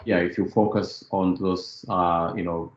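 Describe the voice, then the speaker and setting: Deep-voiced man from Ethiopia, hesitant. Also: level tone, a little slow, roomy sound, muffled recording. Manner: hesitant, many fillers and "you know". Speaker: former student, interviewee. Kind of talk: recorded testimonial interview.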